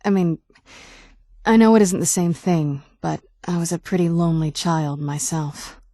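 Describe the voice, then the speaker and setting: A woman's voice: lowish voice, steady tone, moderate pace, warm tone, no room sound. A woman playing a guarded, wry young vampire noblewoman companion in a video game, speaking in a dry, sardonic, conversational way. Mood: earnest, guarded. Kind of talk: video game character dialogue.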